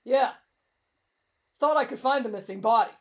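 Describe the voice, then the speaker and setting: A woman's voice: on the high side, normal speed, a little hiss or hum, pitched below the performer's own voice, natural voice. A woman voicing a brash, cocky young man with a morbid streak and a blunt, boastful manner in an anime fan audio roleplay.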